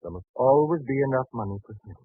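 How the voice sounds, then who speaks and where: A husky, worried merchant father. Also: mid-range voice, animated, fast talker, dry recording. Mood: anxious. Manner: hesitant, earnest. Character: cautious, worried male merchant and anxious father. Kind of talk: 1940s radio drama acting. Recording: tinny, band-limited 1940s radio broadcast.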